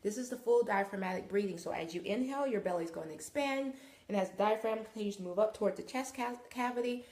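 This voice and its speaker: A woman's voice: medium pitch, quick pace, darkish tone, reverberant. A woman yoga instructor guiding a live class through breathing and poses in a conversational, encouraging way, sniffling now and then after nose surgery. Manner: encouraging, conversational with frequent okays.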